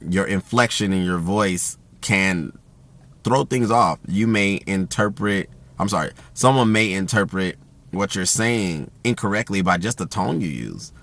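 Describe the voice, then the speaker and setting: A man talking casually about communication in an even, self-described monotone, with a loud voice that carries and plenty of fillers and restarts. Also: low voice. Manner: rambling with frequent fillers and restarts. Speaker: host.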